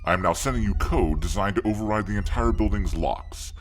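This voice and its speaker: An actor playing a scheming billionaire tech mogul in an audio drama, suave, formal and assured. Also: male voice, fairly low pitch, a little fast, faint music behind, lightly acted. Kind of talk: scripted audio drama acting.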